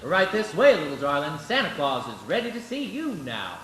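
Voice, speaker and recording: A man's voice: on the high side, sing-song, normal speed, fairly bright tone. A man playing a showy department-store barker with hearty patter in an old-time radio commercial. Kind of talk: 1940s radio commercial acting. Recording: echoey stage, music behind.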